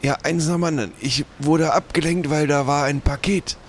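A man's voice: a little high, steady tone, normal speed, natural voice. A man playing a stubborn, grumbling old pirate in an improvised audio play. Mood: matter-of-fact. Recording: noticeable background noise.

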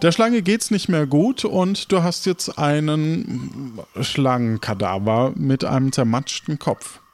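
A man improvising as game master of a role-playing game, narrating haltingly and searching for words. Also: fairly high pitch.

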